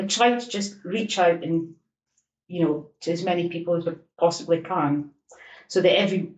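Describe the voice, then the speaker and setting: A woman's voice: on the low side, a little mellow. Aberdeen woman explaining, echoey room. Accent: Aberdeen Scottish. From Aberdeen, Scotland. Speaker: local studies librarian. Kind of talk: prepared explanation on a podcast.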